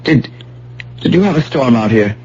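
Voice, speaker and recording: An actor playing a wary man drawn into a risky heist in a radio drama, speaking tersely and haltingly. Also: mid-range voice, animated, a little mellow, a little hiss or hum, close to an everyday voice. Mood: puzzled. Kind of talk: vintage radio drama acting. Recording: muffled, humming old radio broadcast recording.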